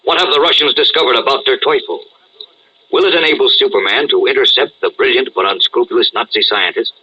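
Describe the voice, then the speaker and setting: A man's voice: mid-range voice, bright, forceful tone, slightly rough, dull audio. An American man narrating a 1940s radio serial in an emphatic, suspenseful, theatrical style. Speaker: narrator and announcer.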